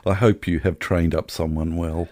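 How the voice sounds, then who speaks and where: A man's voice: low-pitched, flat delivery, moderate pace, darkish tone, no room sound, studio quality. An Australian man co-hosting a podcast, chatting casually about film in a thoughtful, searching way.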